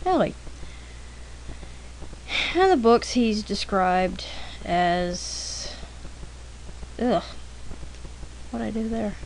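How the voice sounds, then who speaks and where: A woman talks casually and informally at an unhurried pace, in the rambling way of someone telling anecdotes during an art demo. Her pitch sits in the middle range for a woman. The recording has faint noise behind the voice. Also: very animated, darkish tone, rough recording. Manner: chatty, informal, frequent throat clearing. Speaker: artist narrating a drawing demonstration.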